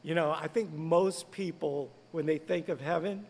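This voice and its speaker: A man's voice: a little high, steady tone, normal speed, a little mellow, long reverb tail. A man who is a pastor, speaking earnestly and conversationally in a podcast interview. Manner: conversational, earnest, lightly laughing.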